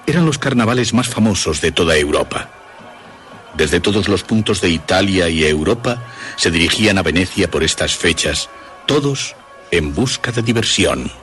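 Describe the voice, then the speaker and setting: A man's voice: sits low, big pitch swings, normal speed, fairly bright tone. A man narrating a scripted suspense story as its storyteller. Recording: music behind the voice. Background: music.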